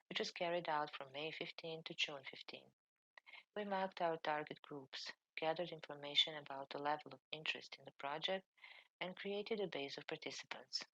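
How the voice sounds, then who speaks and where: Woman, low pitch, bright tense tone, dry close sound, formal measured reading, from serbia. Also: dry recording, highs cut off. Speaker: librarian presenter. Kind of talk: read conference presentation.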